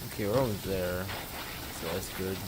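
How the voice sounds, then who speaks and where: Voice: low voice, fairly even intonation, normal speed, very noisy. A game streamer giving casual, offhand gameplay commentary in slangy reactions. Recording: loud game music and sounds behind. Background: loud game music.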